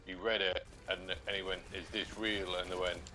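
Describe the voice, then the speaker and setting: Low-voiced man telling an anecdote. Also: level tone, moderate pace. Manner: tells anecdotes, asks the guest questions. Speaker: co-host friend. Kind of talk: casual chat.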